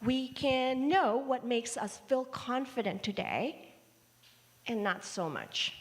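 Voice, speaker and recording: A woman who grew up in Indonesia preaches a sermon at a very unhurried pace, her voice sitting a little high. She tells stories with playful asides. The sound rings with the long reverb of a church hall livestream. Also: expressive. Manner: measured, warm storytelling with playful asides.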